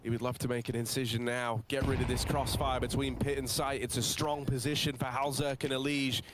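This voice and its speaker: A man casting an esports match with play-by-play commentary, drawn-out hype calls and playful wordplay. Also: mid-range voice, flat delivery, heavy background noise. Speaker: esports caster. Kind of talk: play-by-play commentary with casual banter.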